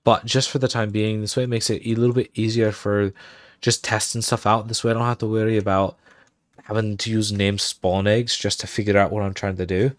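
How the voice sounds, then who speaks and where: Streamer, male, low dry voice, put-on Irish accent, chatty tutorial read while typing. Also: level tone, fairly quick, no room sound. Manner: thinks aloud, muttering commands while typing. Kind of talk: casual game livestream tutorial.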